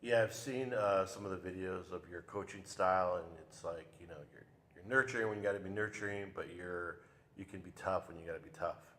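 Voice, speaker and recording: A man hosting a local interview show, talking in a relaxed, conversational way. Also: low-pitched, a little fast, big-room sound. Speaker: show host. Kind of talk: casual interview. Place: local TV studio.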